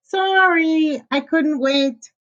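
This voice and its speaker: A woman voicing a clever young male pig with a high, cheeky voice, in a slightly dull recording. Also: very slow, a bit bright, exaggerated voice.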